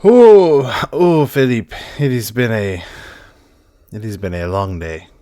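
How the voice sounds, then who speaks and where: A man's voice: mid-range voice, animated, slow pace, mellow, no echo, studio quality. A man playing an earnest, naive, heartbroken young nobleman in a tabletop game, speaking in a formal, theatrical way. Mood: forlorn.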